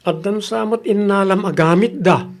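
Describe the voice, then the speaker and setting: A slightly high-voiced Ilocano husband, played by a man, nagging and cautious. He questions and admonishes at home in the manner of a radio comedy drama. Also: normal speed. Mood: scolding. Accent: Ilocano Filipino.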